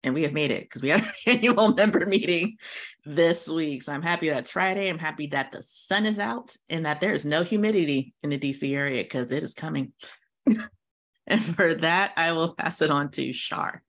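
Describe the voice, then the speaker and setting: Low-voiced cheerful woman at home. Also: moderate pace, a bit bright. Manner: cheerful, laughing. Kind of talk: casual video call introduction. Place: home office, indoors.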